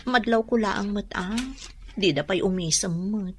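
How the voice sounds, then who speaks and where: Casting: earnest, eager-to-please young wife female actor, middle register, gentle pleading delivery, radio drama. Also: medium pitch, unhurried, a little hiss or hum, lightly acted. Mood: hurt, plaintive. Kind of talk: comedy radio drama acting.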